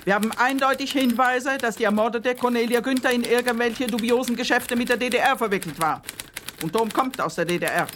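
An actor playing a gruff, insulting police officer who barks impatiently through an interrogation in a radio crime comedy. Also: male voice, very high voice, brisk, fairly bright tone, a little hiss or hum. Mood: warning, suspicious. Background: typewriter clatter.

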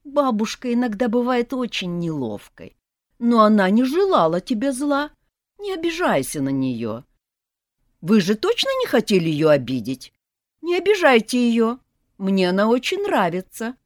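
A woman voicing a naive, gentle young man who is a blind snake, speaking earnestly and softly. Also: fairly high pitch, big pitch swings, moderate pace, no echo, studio quality, voice pushed up.

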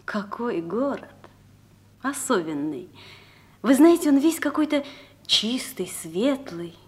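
A woman's voice: on the high side, sing-song, slow pace. An actress playing an earnest, dreamy young woman in a radio drama. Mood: dreamy admiration. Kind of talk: theatrical Russian radio drama.

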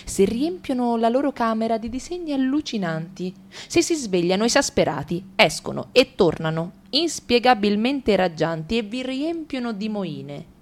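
Donna, lettura ironica da radioteatro. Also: female voice, on the high side, big pitch swings, normal speed. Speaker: radio drama reader. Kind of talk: theatrical literary reading.

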